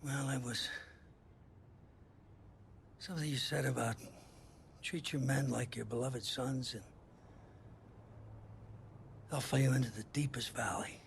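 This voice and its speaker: An actor playing an earnest, firm middle-aged football coach in a video game drama, speaking plainly and haltingly. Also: male voice, mid-range voice, fairly even intonation, creaky, slight background noise. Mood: halting, solemn. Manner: halting, deliberate, plain-spoken.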